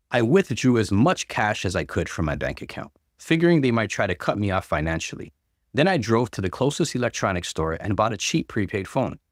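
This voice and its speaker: Animated male story narrator, close dry sound. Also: lowish voice, no echo. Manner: expressive, acts out dialogue. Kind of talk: dramatic scripted narration of online stories.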